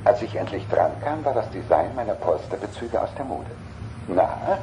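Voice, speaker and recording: An actor playing a droll, fussy talking car computer in an audio drama, complaining in deadpan fashion. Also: male voice, lowish voice, moderate pace, rough recording. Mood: drily complaining. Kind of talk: German audio drama acting.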